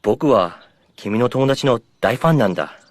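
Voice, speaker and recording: A man plays a young drift car from a cartoon, an eager and admiring challenger. The voice is mid-pitched and lively, polite in manner and recorded dry. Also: a little fast, dry recording, close to an everyday voice. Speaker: voice actor. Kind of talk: animated cartoon dialogue.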